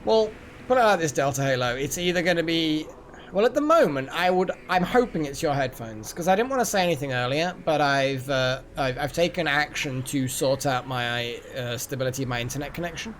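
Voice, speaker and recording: A man's voice: on the high side, lively intonation, fairly quick, a bit bright, a little hiss or hum. A man hosting a live game stream, chatting casually and rambling as he thinks aloud. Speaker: game livestream host. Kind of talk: casual live gaming chat.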